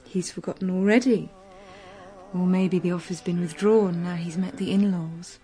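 A woman's voice: fairly low pitch, steady tone, normal speed, mellow, faint music behind, lightly acted. An actress playing a determined, playful young woman in love, in a radio drama. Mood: teasing, joyful. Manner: playful, teasing. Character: spirited, determined young bride-to-be.

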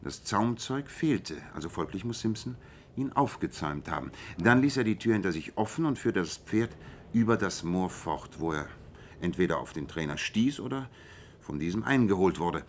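An actor playing a shrewd, self-assured male detective in a radio play, explaining in a measured and precise way. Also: low voice, expressive, a little hiss or hum. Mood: analytical, assured. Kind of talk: German radio play dialogue.

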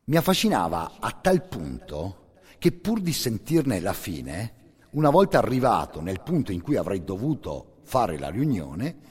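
Male monologist for radio theatre: husky, intense storyteller, very wide swings in pitch, long hall reverb. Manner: intense, theatrical storyteller, swelling from hushed to forceful.

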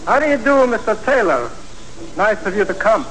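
High-voiced, swooping, courtly villain. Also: male voice, very animated, normal speed, a bit bright, poor audio. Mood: silky menace. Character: suave, menacing male crime boss with courtly manners. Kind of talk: 1940s radio drama acting. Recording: hissy 1940s radio broadcast recording.

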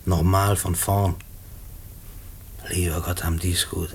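An actor in a German radio play playing a gruff, despairing convict who speaks colloquially and haltingly. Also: male voice, deep voice, little pitch movement, slight background noise. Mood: despairing, agitated. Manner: colloquial, halting, repeating himself when agitated. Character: gruff, despairing convict writing letters to his mother.

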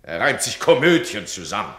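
An actor playing a domineering duke who scolds his subject in a radio play, his voice swinging between cold and loud. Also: lowish voice, big pitch swings, normal speed. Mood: imperious. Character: domineering stern duke, absolute ruler. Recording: roomy radio drama studio.

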